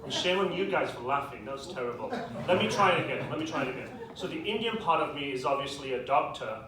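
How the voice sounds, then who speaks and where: A young man with a British accent doing a stand-up comedy set in a club with a live audience, delivering dry, self-deprecating punchlines with quick asides. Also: a little high, lively intonation, a crowd in the background. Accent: British English. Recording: echoey club, distant mic, audience noise. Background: audience laughter.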